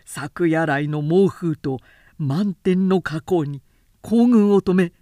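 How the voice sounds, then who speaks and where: A woman's voice: on the low side, takes it slowly, dark tone, dry recording, clean studio sound. A woman voicing a loyal male army officer in a storybook reading, formal, urgent and emphatic. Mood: respectful report. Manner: formal, martial, emphatic projection.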